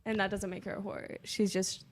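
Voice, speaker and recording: A woman's voice: medium pitch, little pitch movement, normal speed, slight background noise. An eighteen-year-old woman on a panel, giving her opinion in a giggly, casual way. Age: teens. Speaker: panel guest. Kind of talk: casual discussion.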